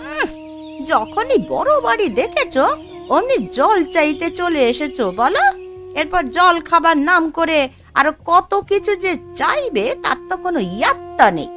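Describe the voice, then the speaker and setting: Casting: female actor for demanding well-to-do cartoon housewife, high-pitched, haughty and boastful. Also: sing-song, unhurried, a bit bright, soft background music, heavily acted. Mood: scornful. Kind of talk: Bengali cartoon dialogue.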